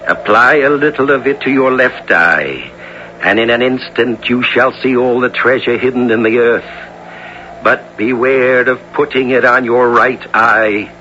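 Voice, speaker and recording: An actor playing a wise, shrewd holy dervish with formal, measured delivery in a 1970s radio drama. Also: male voice, mid-range voice. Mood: earnest warning. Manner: measured, formal, gently ironic. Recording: muffled, noisy old radio recording.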